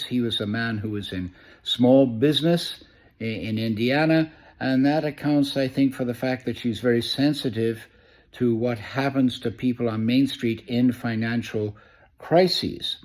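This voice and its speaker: New york guy doing a newspaper review, average pitch, lots of uhs and restarts. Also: darkish tone. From New York City. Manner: conversational, fills pauses with uh, restarts phrases. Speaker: host of a press review. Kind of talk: casual spoken press review.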